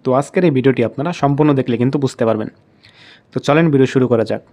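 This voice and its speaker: A man's voice: mid-range voice, racing, darkish tone. A man with a Bangladeshi accent narrating a step-by-step app tutorial as host, in repetitive instructional phrasing.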